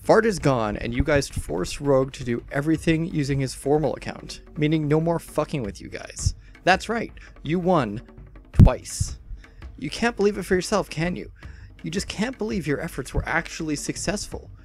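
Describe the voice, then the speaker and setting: A man's voice: fairly high pitch, expressive, normal speed, darkish tone, faint music behind. Man acting as combative, exasperated online debater. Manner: argumentative, emphatic, sweary. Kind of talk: dramatic reading of an online argument.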